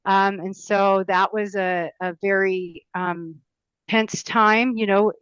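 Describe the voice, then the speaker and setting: A woman in her fifties with a smooth, resonant voice recounts her upbringing in an interview. She rambles, with frequent ums and restarts. The sound is dry and slightly dull, like a lo-fi recording. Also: mid-range voice, fairly even intonation, takes it slowly, a bit bright, no echo. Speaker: mayoral candidate guest. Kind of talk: interview life story.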